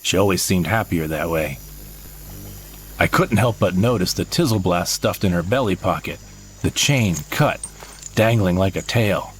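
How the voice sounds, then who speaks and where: A man voicing a male sidekick who narrates his own space adventure in a measured storytelling way. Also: sits low, a little hiss or hum. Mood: wry. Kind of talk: scripted audio drama narration. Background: background music.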